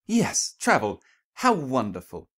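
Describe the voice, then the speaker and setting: A man's voice: medium pitch, huge pitch swings, takes it slowly, fairly bright tone, voice pushed up, heavily acted. A man voicing a genteel, gushing female cartoon pony in a storybook reading, refined and anxiously polite. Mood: forced politeness. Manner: refined, effusive, over-polite.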